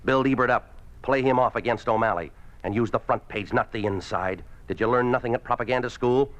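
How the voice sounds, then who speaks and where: An actor playing a ruthless, domineering communist party boss in a 1950s radio drama, speaking in a curt, commanding way. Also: male voice, medium pitch, brisk, natural voice. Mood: harsh, commanding. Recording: muffled old 1950s radio recording.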